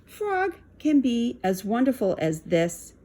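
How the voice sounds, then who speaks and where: Woman voicing an anxious, emphatic storybook toad, pitched high. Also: sing-song, slow pace, warm tone, heavily acted. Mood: boastful. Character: anxious male toad, boastful but easily frightened.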